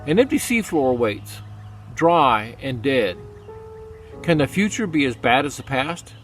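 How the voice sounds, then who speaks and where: A man reading poetry aloud as a dramatic recitation, solemn and deliberate, with pauses for effect. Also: medium pitch, big pitch swings. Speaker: poetry reader. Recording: music under the voice. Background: background music.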